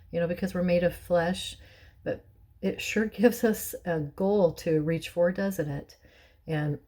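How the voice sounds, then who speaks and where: Woman, raspy, hoarse, throat-clearing, mellow low voice, chatty Bible teacher. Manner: warm, chatty, with restarts and soft laughs. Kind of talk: casual Bible lesson.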